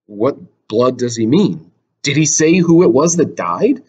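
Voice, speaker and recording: A man's voice: mid-range voice, very animated, a little slow, darkish tone, breathy edge, voice pushed down. A resolute king from a stage tragedy, read by a man, declaiming and probing. He questions firmly and speaks with formal weight.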